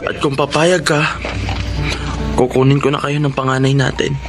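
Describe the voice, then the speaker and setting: A man's voice: mid-range voice, level tone, moderate pace, loud music behind the voice, close to an everyday voice. A man playing a guilt-ridden young Filipino father in a radio drama, with an emotional delivery. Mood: earnest.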